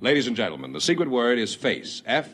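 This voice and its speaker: A man's voice: medium pitch, sing-song, quick pace, fairly bright tone, breathy edge. A man reading formal announcements for an old 1950s radio quiz show, with a declaiming delivery. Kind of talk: booming announcer read.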